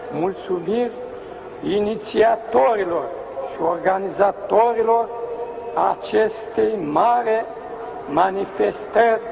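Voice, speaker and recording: A man giving a formal public speech, declaiming with a drawn-out delivery, heard on an old archive recording. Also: sits high, gravelly. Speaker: speaker at a public rally. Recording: old archive recording, dull and noisy, reverberant hall.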